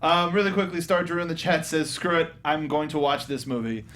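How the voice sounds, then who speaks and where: Male panel co-host, slightly high voice, joking and sarcastic, casual banter, faint rumbly noise behind. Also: a little fast, fairly bright tone. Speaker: co-host of a movie review show.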